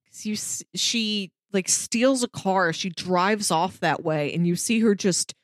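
A woman's voice: medium pitch, a little slow, no room sound, clean studio sound. A woman co-hosting a podcast, casually retelling and discussing a story in loose, conversational speech peppered with filler words. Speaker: podcast co-host.

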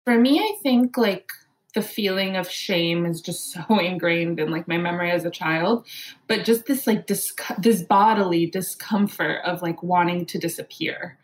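A woman's voice: on the low side, moderate pace, rough recording. A woman guest talking casually and openly, searching for words with small restarts. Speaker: podcast guest, co-host. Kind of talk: casual conversation.

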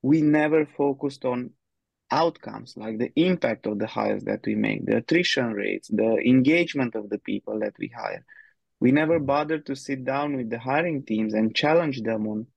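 A man's voice: medium pitch, moderate pace, gravelly, darkish tone, slightly rough, no room sound, lo-fi sound. A man from Romania, answering interview questions in a hesitant, thinking-aloud way with many fillers. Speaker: guest, head of people.

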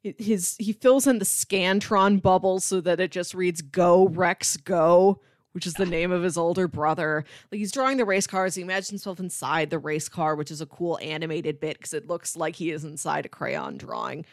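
A woman's voice: on the low side, moderate pace, dry recording, studio quality. A woman hosting a podcast, talking casually and enthusiastically about film with emphatic delivery. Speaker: podcast host.

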